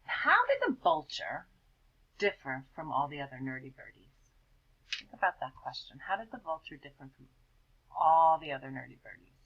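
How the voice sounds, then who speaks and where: A woman teacher reading a picture book aloud and asking her class gentle questions about it. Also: sits low, big pitch swings, moderate pace, breathy edge, dull audio. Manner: gentle questioning, prompting children to think.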